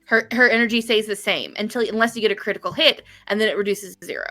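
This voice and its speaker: A woman's voice: mid-range voice, fast talker, bright, forceful tone, dry recording, soft background music. Woman game developer on a livestream: middle register, informal, wandering, explanatory chat. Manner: rambling, frequent "like", casual swearing. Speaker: game developer guesting on a stream.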